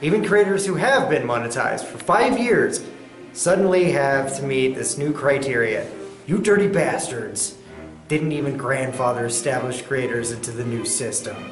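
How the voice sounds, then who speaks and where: A man's voice: mid-range voice, expressive, normal speed, natural voice. A man playing an indignant small video creator protesting accusingly in a comedy sketch. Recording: echoey room, faint music behind.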